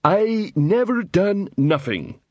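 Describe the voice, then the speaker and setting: A man voicing a hulking, suspicious troll in an audiobook, sullen and slow-witted, with an ungrammatical growl. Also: high-pitched, very animated, a little mellow, dry recording, highs cut off, voice pushed up, exaggerated voice. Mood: sullen, defensive. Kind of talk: audiobook character voice.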